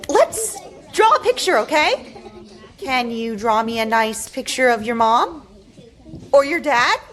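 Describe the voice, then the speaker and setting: A woman acting the part of a kind, encouraging kindergarten teacher, speaking gently to small children at a film table read. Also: fairly high pitch, big pitch swings, measured pace. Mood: warm, coaxing.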